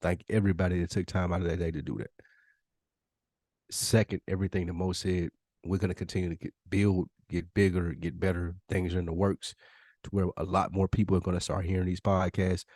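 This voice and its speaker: Earnest American man with a lowish voice. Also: level tone, brisk, a little mellow, dry recording, clean studio sound. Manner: earnest, emphatic, repeats phrases for weight. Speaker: podcast co-host. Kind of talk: casual podcast chat.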